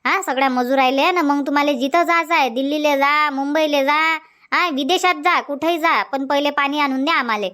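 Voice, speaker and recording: A woman's voice: high-pitched, a little fast, sharp tone. A woman voicing a nagging village farm wife. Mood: indignant. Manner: scolding, insistent, long rants. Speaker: cartoon voice actor. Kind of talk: comic cartoon dubbing.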